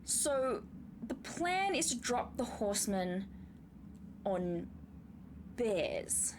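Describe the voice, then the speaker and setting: A woman's voice: a little high, sing-song, drawn-out words, noisy background, heavily acted. A woman playing a brainy, cynical warhorse in a comic radio play, argumentative and incredulous. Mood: sardonic. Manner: sardonic, incredulous, opinionated.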